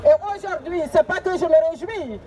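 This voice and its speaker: A woman's voice: very high voice, moderate pace, mellow. A woman activist giving an impassioned protest speech, emphatic and repetitive as she urges her listeners on. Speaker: activist speaker. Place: outdoors at a street demonstration. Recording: noisy outdoor crowd, street traffic. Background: crowd and vehicle noise.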